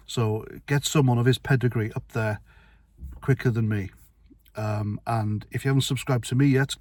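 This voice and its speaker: Middle-aged male vlogger, mid-pitched, dry sound, rambling and sweary. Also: a little mellow, breathy edge, no room sound. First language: Welsh. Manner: blunt, rambling, sweary, with restarts. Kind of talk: casual opinion monologue to camera.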